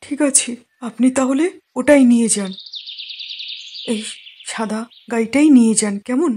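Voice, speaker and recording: Woman voicing a kind villager, fairly high voice. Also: measured pace, lightly acted. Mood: generous. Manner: polite, gentle, explanatory. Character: kind, grateful, generous village man. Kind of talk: cartoon moral-story dialogue. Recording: faint noise with birdsong.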